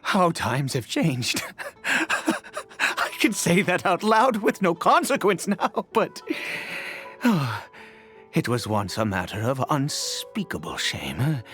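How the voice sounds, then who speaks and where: An actor playing a weary, bitter, cursed immortal nobleman and grieving father in a video game, with a theatrical, halting, anguished delivery. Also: fairly high pitch, sing-song, fairly bright tone, faint music behind, exaggerated voice. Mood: wistful, remorseful. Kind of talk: dramatic video game voice acting.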